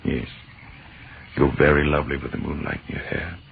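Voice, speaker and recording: A romantic, bantering professor husband from vintage radio drama. He speaks in a deep voice with florid, pedantic flourish. The old recording is boxy with faint noise. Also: steady tone, fairly quick, vocal fry, narrow, tinny audio, exaggerated voice. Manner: mock-pompous, florid phrasing. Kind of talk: 1940s radio drama acting.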